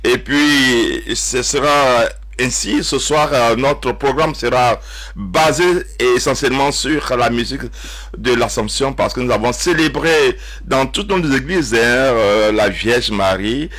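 Male radio host, mid-pitched voice, halting conversational delivery for a faith programme. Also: a bit bright. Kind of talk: hosting a religious music talk show.

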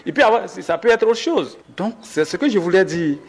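A man speaking as a doctor in a health interview, explaining slowly with many fillers and restarts while advising listeners. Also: high voice, expressive, creaky, slightly rough, in a large hall.

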